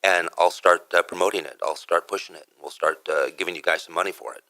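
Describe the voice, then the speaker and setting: A man's voice: low voice, fast talker, pushed and bright, no room sound. A man speaking casually as a radio DJ and interview guest, emphatic and repeating himself for stress. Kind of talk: casual chat.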